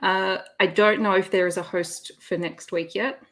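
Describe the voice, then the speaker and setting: A woman's voice: mid-range voice, steady tone, normal speed, fairly bright tone. A woman hosting an online readalong, chatting casually about books. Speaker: host of an online readalong livestream. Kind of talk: casual livestream chat about romance books.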